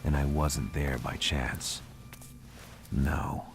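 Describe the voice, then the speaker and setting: A brooding, haunted narrator speaks in an extremely deep, flat monotone. His delivery is slow and somber, now and then sinking to a whisper. Clear ambient noise sits behind the voice. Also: exaggerated voice. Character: brooding, haunted man lost in a dark mansion. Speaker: game protagonist narrator. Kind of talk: scripted game narration.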